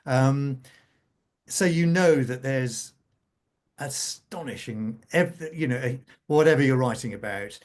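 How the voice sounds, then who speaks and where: A man's voice: medium pitch, takes it slowly. A man talking conversationally as a scriptwriter on a panel, repeating words for emphasis. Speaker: radio drama scriptwriter, panel guest.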